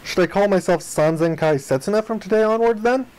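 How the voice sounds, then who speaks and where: A man reading the narration and dialogue of a visual novel aloud in an expressive style. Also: high-pitched, fairly quick, smooth.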